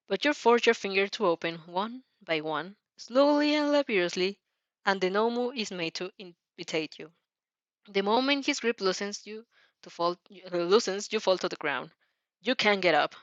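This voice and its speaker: A woman narrating a fan fiction story dramatically, with an expressive, somewhat halting delivery. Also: mid-range voice, no room sound, lo-fi sound.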